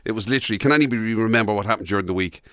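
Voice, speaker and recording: An Irish man co-hosting comic radio banter, teasing and mock-consoling, often feeling his way through halting questions. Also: fairly low pitch, fairly bright tone, smooth, no room sound, clean studio sound. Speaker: radio show co-host.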